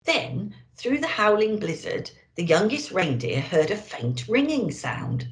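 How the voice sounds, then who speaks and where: A woman's voice: mid-range voice, unhurried. A woman reading to children expressively as a storyteller, playing a Christmas elf host. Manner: animated, addressing children. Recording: roomy indoor echo.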